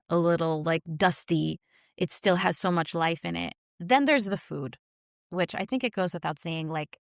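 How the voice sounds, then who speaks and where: A woman's voice: on the low side, creaky, no echo, studio quality. A woman, speaking as a podcast guest in a reflective, searching way. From New York. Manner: reflective, searching for words with many likes and you knows. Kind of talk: casual podcast interview.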